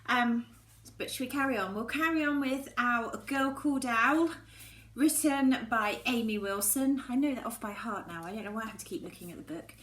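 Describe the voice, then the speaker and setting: A woman speaking as a primary teacher reading aloud to children, with expressive storybook delivery. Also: on the high side, normal speed. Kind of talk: expressive children's storybook narration with casual greetings. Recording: echoey room, faint background noise.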